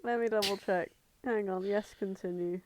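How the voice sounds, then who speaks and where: A young British woman co-hosting a podcast, chatting casually and comically, telling stories in an animated way and acting out bits of dialogue. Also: mid-range voice, moderate pace, dry recording. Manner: animated storyteller, acts out imagined dialogue. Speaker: podcast co-host. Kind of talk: casual comedic chat.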